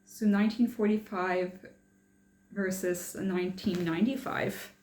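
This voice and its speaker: Slow, rambling young woman vlogger. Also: fairly low pitch, steady tone, a little mellow, reverberant. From France. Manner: rambling and hesitant, many fillers and restarts. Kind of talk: casual personal update.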